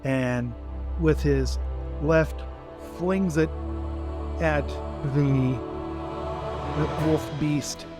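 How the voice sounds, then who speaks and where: A man playing a tabletop roleplaying game, casually narrating his action in a halting, deliberate way. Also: fairly high pitch, steady tone, over music.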